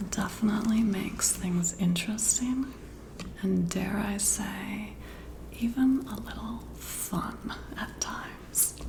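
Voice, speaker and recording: Female ASMR performer, soft-spoken and half-whispered, slow and intimate, playing an appreciative boss in one-sided chat. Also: mid-range voice. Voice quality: soft, breathy whisper with creaky edges. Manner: unhurried, flattering, intimate, as a friendly boss.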